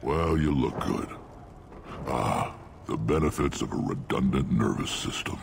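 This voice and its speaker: A man playing a hulking alien clan chief in a video game, a gruff, wry veteran who speaks in a blunt, commanding growl. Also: expressive, unhurried, exaggerated voice. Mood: amused, nostalgic. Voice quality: deep, gravelly growl. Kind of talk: video game cutscene acting. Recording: faint background noise.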